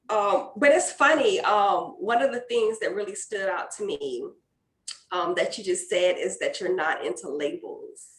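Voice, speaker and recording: A woman's voice: mid-range voice, a bit bright. Chatty woman host in an echoey room. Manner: chatty and upbeat, many "you know" and "right" fillers, laughs easily. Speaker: conference host. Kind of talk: casual interview chat.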